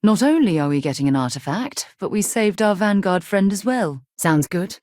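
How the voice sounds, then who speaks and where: An actress playing a composed, principled space explorer in a video game, with an articulate, dryly wry way of speaking. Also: female voice, medium pitch, lively intonation, normal speed, a little mellow, no room sound, clean studio sound, natural voice. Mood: composed, matter-of-fact. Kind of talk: video game character dialogue.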